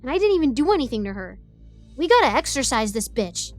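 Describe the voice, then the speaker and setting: A woman voicing an excitable, defiant ten-year-old girl who tells her own story with rambling, indignant asides. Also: high-pitched, very fast, faint music behind, voice pushed up. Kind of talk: first-person scripted story narration.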